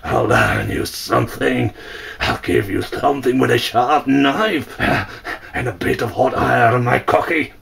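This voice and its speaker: A man's voice: fairly low pitch, lively intonation, slow pace, creaky, recorded from a distance, voice pushed down, heavily acted. A man playing a bellowing, brutal sea captain. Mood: raging. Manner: bellowing threats.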